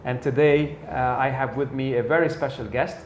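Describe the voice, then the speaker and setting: A man's voice: mid-range voice, fairly even intonation, normal speed, a little hiss or hum. A man hosting an interview, talking conversationally with frequent fillers. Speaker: interview host and sales trainer. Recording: echoey room, faint ambience.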